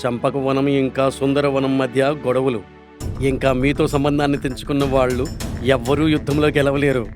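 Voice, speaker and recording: A man voicing an anxious, respectful monkey messenger in an animated story. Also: medium pitch, a little fast, over music, close to an everyday voice. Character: monkey messenger, anxious, deferential, loyal informant.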